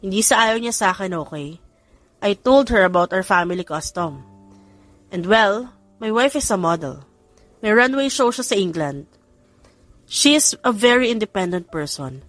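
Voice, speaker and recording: A vain, cheeky Filipino son of a wealthy family, acted by a woman in an audio romance. He is self-assured and boastful, at a middle female pitch, with faint music behind. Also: close to an everyday voice. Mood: earnest.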